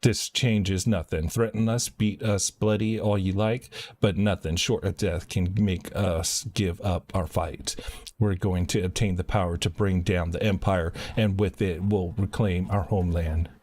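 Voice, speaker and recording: Man reading as a deep-voiced defiant young rebel. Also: steady tone, unhurried, a little mellow, slight background noise. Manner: suspicious, threatening. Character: defiant young male rebel leader in fantasy game. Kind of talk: reading game dialogue aloud.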